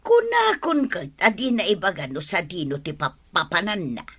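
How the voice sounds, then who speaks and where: A woman's voice: lowish voice, wildly swooping pitch, moderate pace, a bit bright, slightly rough, full character voice. A woman playing a suspicious, nagging wife who keeps questioning insistently in an Ilocano comedy drama. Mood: accusing, insistent. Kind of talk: Ilocano radio-style comedy drama acting.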